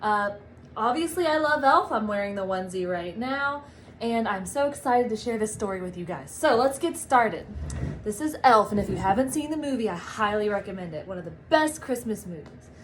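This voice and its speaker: A woman reading a story aloud to children like a teacher, with animated, drawn-out storybook phrasing. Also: medium pitch, a little hiss or hum. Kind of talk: expressive read-aloud storytelling.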